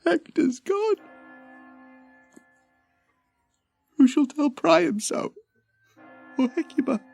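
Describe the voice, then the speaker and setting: An actor playing a bitter, vengeful young prince with impassioned declamation in a Shakespearean audio drama. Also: male voice, very high-pitched, expressive, a little slow, vocal fry, a little mellow, faint music behind, full character voice. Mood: anguished cry.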